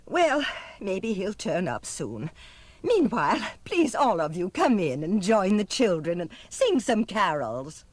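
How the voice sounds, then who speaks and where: A woman's voice: medium pitch, sing-song, a little slow, darkish tone, a little hiss or hum. A woman playing a kindly, anxious orphanage matron in a cartoon audio drama, gracious but fretful. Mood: worried.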